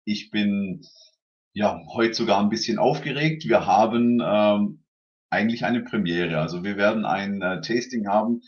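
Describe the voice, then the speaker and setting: A man's voice: lowish voice, unhurried, dull audio. A man hosting a casual livestream tasting, chatty and full of fillers, greeting viewers by name.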